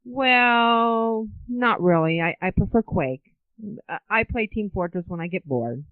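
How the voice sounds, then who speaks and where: A middle-aged woman gives casual interview answers with very animated pitch movement. She is playful and blunt, keeping her phrases short. The recording is narrow and tinny like a telephone, with almost no room sound. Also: measured pace, no room sound. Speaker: interview guest. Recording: tinny, narrow phone-like line.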